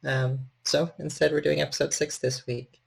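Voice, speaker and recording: A man's voice: on the high side, little pitch movement, moderate pace, creaky, slightly rough. A man chatting casually as the host and storyteller of a livestream. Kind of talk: casual livestream hosting chat.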